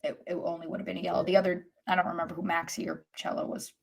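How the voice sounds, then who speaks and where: A woman's voice: fairly low pitch, little pitch movement, rapid-fire, vocal fry. A woman co-hosting a podcast, talking casually about sports. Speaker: podcast co-host.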